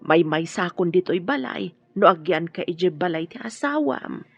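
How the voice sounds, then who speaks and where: A woman playing a caring, gently worried older mother in an Ilocano radio drama, with an Ilocano accent. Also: lowish voice, animated, moderate pace, creaky, no room sound, muffled recording, soft background music. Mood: concerned.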